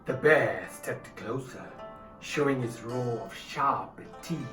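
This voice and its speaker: A man presenting and narrating a story in a deliberate, instructive way. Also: medium pitch, far from the mic. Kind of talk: storytelling and audience address. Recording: echoey room, off mic, music behind.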